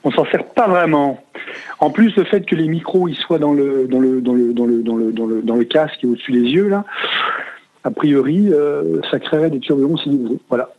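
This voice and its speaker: Hesitant higher-pitched man on tinny phone. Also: moderate pace. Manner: hesitant, repetitive, roundabout explaining. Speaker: customer. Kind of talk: casual spoken phone feedback. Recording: tinny, narrow phone line.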